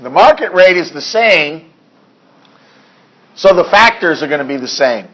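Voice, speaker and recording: A hoarse male accounting instructor in a classroom lecture, reading figures deliberately and adding dry asides. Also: on the high side, expressive, fairly bright tone, poor audio. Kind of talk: classroom lecture working through problems.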